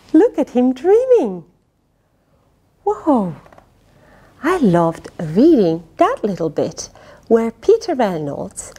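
A middle-aged woman reads a children's story aloud in a fairly high voice. She takes her time, swinging widely in pitch and speaking expressively to the young listener. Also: slightly rough. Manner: expressive, dramatic, with gasps, sighs and questions to the listener. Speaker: storyteller reading a picture book aloud. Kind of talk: children's read-aloud with playful asides. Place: indoors, small fabric tent with cushions. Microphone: lapel.

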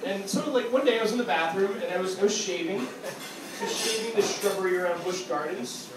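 A man's voice: high voice, a little fast, noisy background. A man from upstate New York doing a loose, crude open-mic stand-up set on a small theater stage, talking into a handheld mic. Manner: loose, rambling, crude, full of "like" and "okay?". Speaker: stand-up comedian. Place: small dark theater stage with a live audience. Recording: echoey room with crowd laughter.

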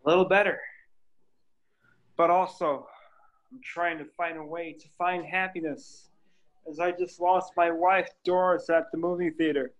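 A man's voice: a little high, fairly even intonation, unhurried, long pauses, close to an everyday voice. A man reading the part of an overwhelmed, grieving widower at a sitcom table read over a video call. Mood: sad. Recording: muffled video call audio.

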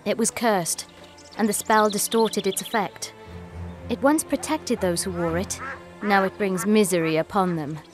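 A woman plays a proud, secretive herbalist in a fantasy game. She speaks coolly and assertively at a medium pitch, with faint music behind. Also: a little slow, natural voice. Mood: mysterious, knowing. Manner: cool, assertive. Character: guarded, proud herbalist woman rumoured a witch. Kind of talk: dramatic video game acting.

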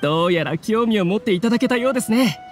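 A man's voice: high-pitched, animated, fast. An actor playing a courteous, eager young man who organizes events in an anime game, speaking formally and earnestly. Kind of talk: Japanese anime game acting.

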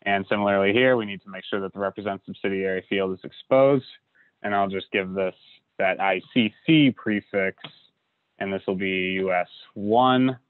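A man giving a relaxed screen-recorded software walkthrough, with lots of uh and you know. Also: low voice, normal speed, clean tone, dry recording. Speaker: software instructor. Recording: dry tinny lo-fi audio.

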